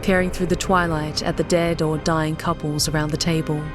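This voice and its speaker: A woman's voice: deep voice, flat delivery, normal speed, over music. A woman giving a scripted, dramatic narration of a story as an audiobook narrator.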